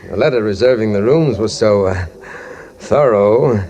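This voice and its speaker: An actor playing a chatty, deferential hotel clerk, polite and effusive, in 1940s radio drama style. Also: male voice, fairly low pitch, unhurried, warm tone, close to an everyday voice. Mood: chatty, flattering.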